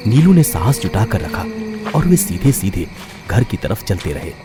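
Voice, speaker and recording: A man's voice: fairly low pitch, very animated, normal speed, over loud music. A man narrating a scripted horror story in a dramatic, emphatic way.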